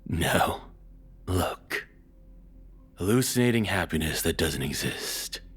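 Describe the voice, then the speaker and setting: A man playing a cruel, controlling ex-boyfriend in an intimate audio roleplay, taunting and belittling slowly in a breathy, husky voice that drops toward whispers. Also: low-pitched, expressive, exaggerated voice. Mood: cold contempt. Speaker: voice actor.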